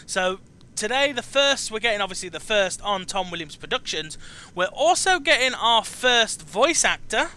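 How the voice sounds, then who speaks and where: A man hosting an online interview, with a halting, repetitive delivery and emphatic announcements. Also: high voice, sing-song, pushed and bright, clear, resonant tone. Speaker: chat show host.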